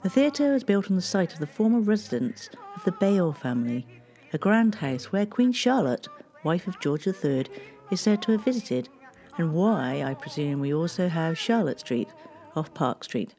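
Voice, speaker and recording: Low mellow woman narrating. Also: very animated. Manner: measured, reads dates and figures. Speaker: local history narrator. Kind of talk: scripted history narration.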